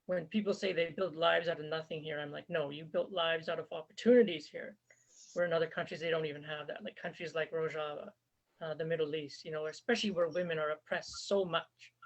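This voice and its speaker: A woman's voice: low voice, steady tone, a little fast. A woman raised in Canada and born in Zambia, speaking earnestly and conversationally as an interview guest, with frequent fillers. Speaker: interview guest, former volunteer fighter. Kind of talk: casual spoken interview. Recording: dull lo-fi recording.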